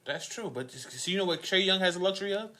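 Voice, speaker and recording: Bright-voiced man in heated sports talk. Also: high-pitched, animated, quick pace. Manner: profane, slangy, combative. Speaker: sports podcast panelist. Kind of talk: casual sports debate.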